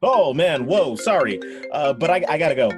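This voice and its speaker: A man's voice: on the high side, big pitch swings, normal speed, soft background music. A man playing an eager, friendly teenage computer geek with casual slang in a radio play. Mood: apologetic, excited.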